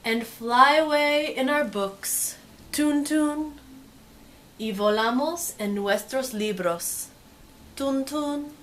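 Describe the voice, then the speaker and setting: A woman presenting a bilingual picture-book read-aloud with casual commentary, expressive when reading and softer when commenting. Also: sits high, slow pace, a little hiss or hum.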